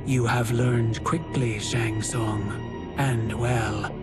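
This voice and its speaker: Game overlord: ominous, commanding, extremely unhurried, mid-pitched, over loud music. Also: male voice, flat delivery. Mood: coolly approving. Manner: measured, imperious. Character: commanding, ominous villain overlord, coolly approving. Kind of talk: video game cinematic acting.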